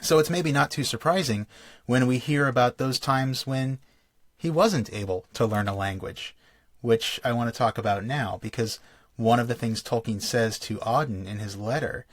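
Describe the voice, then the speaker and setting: A man's voice: mid-range voice, normal speed, no room sound, studio quality. A man hosting a solo podcast, explaining in a thoughtful, lecture-like way and often restarting his phrases. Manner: thoughtful, reads quotations aloud, frequent restarts. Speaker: podcast host.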